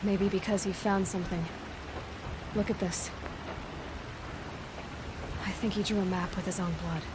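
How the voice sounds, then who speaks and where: A direct young female hunter voiced by an actress in an adventure game, practical and observant. Her mid-pitched voice sits in very loud ambient noise. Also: fairly even intonation, unhurried, close to an everyday voice. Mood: focused, practical. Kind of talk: video game acting.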